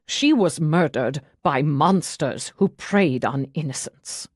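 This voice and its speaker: Woman acting formal proud manservant, clipped, haughty, cold, low-pitched, dry audiobook recording. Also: expressive, slow, breathy edge, dry recording, full character voice. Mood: grieving. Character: stiff, proud, cold male servant, bitterly protective. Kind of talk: audiobook character dialogue.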